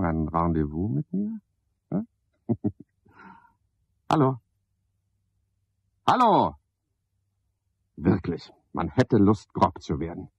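Very deep, husky, cynical night porter. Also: male voice, no echo, exaggerated voice. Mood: irritated. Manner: sardonic, pedantic, swinging from muttering to outbursts. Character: cynical, scheming, misanthropic male night porter, talking to himself. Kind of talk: 1970s German radio play monologue. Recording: dull, lo-fi, dry studio radio recording.

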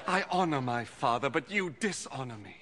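A man's voice: mid-range voice, expressive, moderate pace, fairly bright tone. An actor in his thirties playing an impassioned young preacher confronting doubters in a film drama, declamatory and earnest. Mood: firm, earnest. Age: 30s. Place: open stone courtyard. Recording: noisy outdoor crowd ambience.

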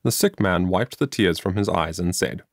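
A man's voice: sits low, moderate pace, no echo, studio quality. A man narrating a children's moral story as an audiobook, reading earnestly and expressively from a script.

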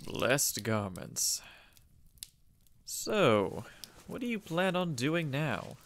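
A man playing a suave, sinister gentleman in a comic dub, theatrical and mockingly polite. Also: fairly high pitch, big pitch swings, extremely slow, a little hiss or hum, exaggerated voice. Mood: smooth, controlled. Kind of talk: comic dub character acting. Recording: voice over background music.

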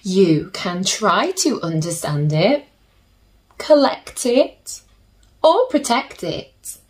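Slow-paced woman reading to children. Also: medium pitch, sing-song. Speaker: children's story reader. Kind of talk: picture-book read-aloud with chatty asides to children. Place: small plain room indoors.

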